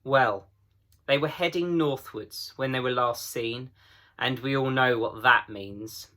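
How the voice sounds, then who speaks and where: A man voicing a gruff, proud old talking beaver in a children's storybook, folksy and emphatic. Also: medium pitch, slow, bright, forceful tone. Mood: grave, gloomy.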